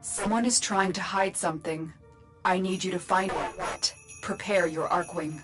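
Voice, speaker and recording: A woman playing a calm, commanding mission guide in a sci-fi game, measured and serious, with music behind her. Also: on the low side, close to an everyday voice. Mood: composed, directing. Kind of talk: scripted game mission briefing.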